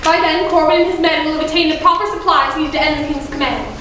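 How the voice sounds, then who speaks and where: A woman's voice: high voice, steady tone, quick pace, a bit bright. A young woman, a student actor, playing an impassioned French revolutionary leader in a stage play. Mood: rousing, resolute. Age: young adult. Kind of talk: high school stage play acting. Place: school theatre stage, distant microphone. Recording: echoey stage from far off, faint noise.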